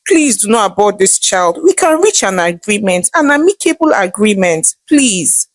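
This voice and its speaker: A woman's voice: mid-range voice, big pitch swings, normal speed, no room sound. Nigerian husband done by a woman storyteller, apologetic, pleading, dry close sound. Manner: earnest, pleading.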